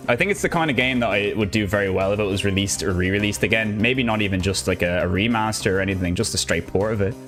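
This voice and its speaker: A man's voice: on the low side, fast talker, hardly any pauses, a bit bright. A young Irish man with an Irish accent, casually chatting through a live gameplay stream in an upbeat, rambling way. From Ireland. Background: game music.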